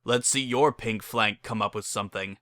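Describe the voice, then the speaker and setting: A narrator performs a hot-headed storybook pony, blunt and stubborn. The delivery is gruff and forceful at an ordinary mid pitch, in a dry, close sound. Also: moderate pace, dry recording, lightly acted. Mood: grumbling.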